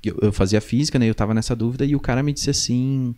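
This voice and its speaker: A man's voice: mid-range voice, fast talker, warm tone. A young man with a southern Brazilian gaúcho accent telling stories casually and acting out remembered dialogue, close on a studio mic. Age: young adult. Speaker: podcast guest, musician. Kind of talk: casual conversational storytelling. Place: small indoor podcast studio. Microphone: close studio mic on boom arm.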